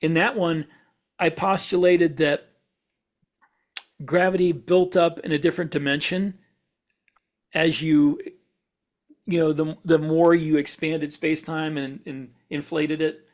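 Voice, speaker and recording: A man's voice: a little high, steady tone, unhurried, pauses often. A man co-hosting a podcast as an author, explaining things in casual shop talk with wandering, self-correcting sentences. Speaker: podcast host and science fiction author.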